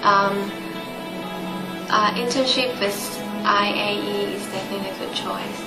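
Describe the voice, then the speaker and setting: A woman's voice: mid-range voice, steady tone, a little slow. Intern in her twenties, Taiwanese accent, careful with fillers, recorded in an echoey office. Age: 20s. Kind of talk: short testimonial interview. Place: small office room indoors. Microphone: camera mic at short distance. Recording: roomy office with background music.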